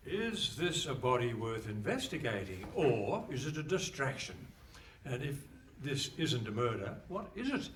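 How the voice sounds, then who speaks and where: A man acting as a wry, bemused narrator in a comic radio play performed live. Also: fairly high pitch. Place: hall stage before a live audience. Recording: faint noise, distant stage mic.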